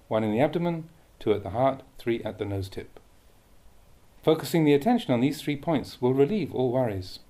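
A man reading a Buddhist meditation teaching aloud with measured, deliberate phrasing. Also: mid-range voice, warm tone. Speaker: Buddhist monk reading a translated dhamma talk.

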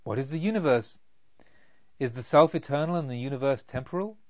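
A man's voice: mid-range voice, lively intonation, fairly quick, no room sound, lo-fi sound, lightly acted. A man voicing an agitated, fervent young male pilgrim, earnest, wordy and exclamatory, in a storybook reading.

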